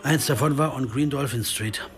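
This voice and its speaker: A man's voice: mid-range voice, normal speed, natural voice. A man acting in a radio crime drama as a restless amateur sleuth, narrating his own story in a reflective, intimate way. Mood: reflective, confiding. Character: troubled male American amateur sleuth, introspective, driven, restless. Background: music.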